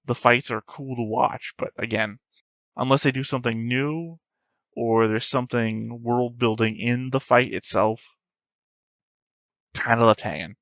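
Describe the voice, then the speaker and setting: A man's voice: medium pitch, steady tone, unhurried, pauses often, a bit bright, no room sound. A man hosting a reaction video, giving casual analytical commentary in a rambling, thinking-aloud way.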